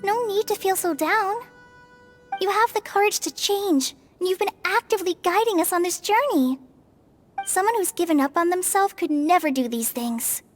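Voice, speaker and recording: A gentle young forest ranger. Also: female voice, very high voice, animated, moderate pace, soft background music. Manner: gentle and encouraging. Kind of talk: video game voice acting.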